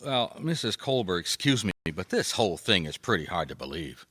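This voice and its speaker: An actor playing a dogged, skeptical private detective in a 1950s radio drama, wry and colloquial. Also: male voice, sits low, big pitch swings, fairly quick, bright, forceful tone, dry recording, studio quality, full character voice. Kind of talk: radio detective drama acting, with first-person narration.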